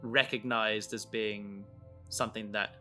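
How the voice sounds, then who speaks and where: A man in a casual podcast discussion, explaining his creative work in a halting way, thinking aloud. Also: fairly low pitch, fairly even intonation, bright, forceful tone, soft background music. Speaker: audio drama creator.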